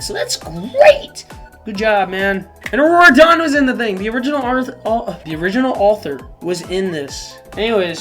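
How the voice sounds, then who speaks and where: A man hosting a reaction video, talking casually and excitably in slangy, repetitive bursts. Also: high voice. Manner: excitable, informal, slangy, repeats phrases. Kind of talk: casual live reaction commentary.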